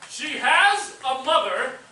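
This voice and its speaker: A man acts out a fumbling, clueless narrator in a stage comedy, hesitant and very high in pitch. The voice is piercingly bright, in a heavily echoed recording. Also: sing-song, slow pace, distant mic, full character voice. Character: clueless, fumbling male stand-in narrator reading exposition. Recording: echoey stage recording from afar, faint noise.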